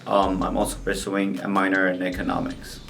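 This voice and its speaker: A man's voice: low voice, monotone, brisk, gravelly, fairly bright tone, slight background noise. A nineteen-year-old man, a student, answering earnestly and hesitantly with many ums. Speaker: student interviewee. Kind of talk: casual street interview.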